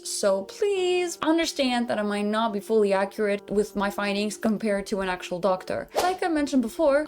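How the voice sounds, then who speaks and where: A woman's voice: medium pitch, darkish tone. A woman giving an informal, chatty explainer to viewers as a vtuber.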